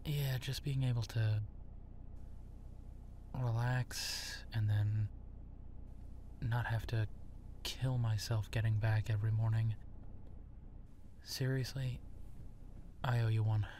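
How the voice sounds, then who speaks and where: A man playing a friendly, self-effacing werewolf in human form in an intimate roleplay audio drama, speaking in a halting, conversational way. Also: lowish voice, fairly even intonation, a little mellow, a little hiss or hum. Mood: friendly, grateful.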